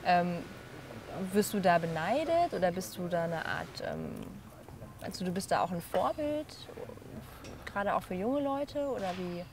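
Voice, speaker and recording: A woman's voice: fairly low pitch, animated, a little slow, noisy background. A young woman interviewing a guest outdoors, asking questions in a curious, conversational way. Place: outdoors at a stadium and a café terrace.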